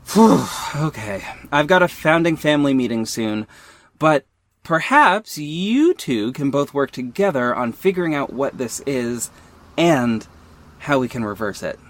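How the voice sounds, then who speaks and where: A man's voice: mid-range voice, sing-song, measured pace. A man playing a sarcastic, petty young company head who narrates an audio drama in a wry, dramatic way. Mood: wry, businesslike. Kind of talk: first-person audio drama narration and dialogue.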